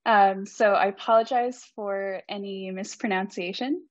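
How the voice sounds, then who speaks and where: A woman's voice: medium pitch, steady tone, measured pace, no room sound. A young woman in her twenties giving an academic conference talk over a video call, polite and earnest with frequent ums. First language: not Icelandic. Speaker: student presenter. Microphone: computer mic on video call. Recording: dry, slightly dull video-call audio.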